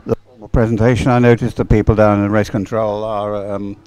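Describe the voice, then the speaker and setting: A man's voice: fairly low pitch, little pitch movement, brisk, a little mellow, smooth. A man giving unscripted live race commentary in an understated way, thinking aloud as he goes. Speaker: sports commentator.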